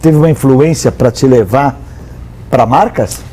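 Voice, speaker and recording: A man's voice: medium pitch, unhurried, warm tone. A man hosting an interview show, introducing the topic and asking questions in an engaged way. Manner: engaged, with brief backchannel affirmations.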